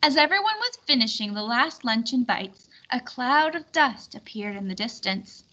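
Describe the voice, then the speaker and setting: A woman reading a children's storybook aloud in an expressive, clearly instructional way. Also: fairly high pitch, normal speed, highs cut off. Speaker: storybook reader.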